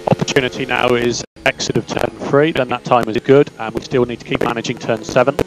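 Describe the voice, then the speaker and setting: A man working as a race engineer, giving calm, measured, clipped instructions over team radio. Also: mid-range voice. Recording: noisy radio link with car hum. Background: car engine hum.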